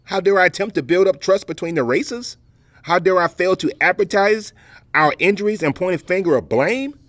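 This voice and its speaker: An actor playing a proud, defensive veteran educator in a formal audio play debate, emphatic and rhetorical. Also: male voice, high-pitched, normal speed, no room sound, close to an everyday voice. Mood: indignant.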